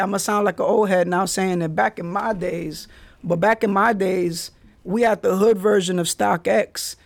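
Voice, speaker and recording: A man hosting a podcast, telling stories in a laid-back way with street slang. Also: high-pitched. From the Bronx, New York. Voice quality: raspy. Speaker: podcast host. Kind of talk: casual solo podcast storytelling.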